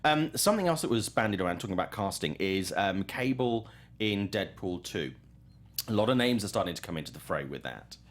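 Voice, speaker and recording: A man's voice: fairly low pitch, big pitch swings, brisk. A man hosting a casual film talk show, opinionated and enthusiastic, with some swearing. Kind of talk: casual entertainment discussion.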